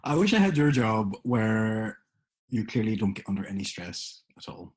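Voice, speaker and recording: British guy cracking jokes, bouncy up-down voice, kinda husky. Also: lowish voice, big pitch swings, normal speed. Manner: joking, laughs often. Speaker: podcast co-host. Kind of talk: casual chat.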